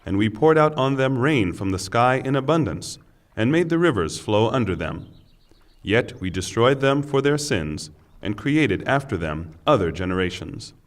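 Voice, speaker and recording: A man reading a scripture translation aloud as narrator, in solemn, measured phrases. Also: mid-range voice, sing-song.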